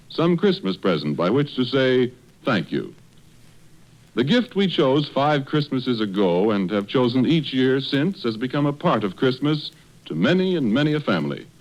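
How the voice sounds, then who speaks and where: Animated male old-time radio announcer. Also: mid-range voice. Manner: polished, earnest. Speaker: sponsor announcer. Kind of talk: 1930s radio scripted sponsor message. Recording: dull old radio, faint music.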